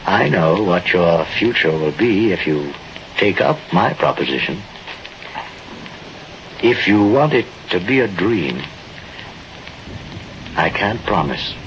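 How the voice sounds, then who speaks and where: Slow, godlike fatherly figure, old radio. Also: sits low, sing-song, heavily acted. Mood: fatherly, frank. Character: godlike older man offering a new world, fatherly, persuasive. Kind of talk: old-time radio drama acting. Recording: dull old radio recording, noisy. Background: rain and thunder.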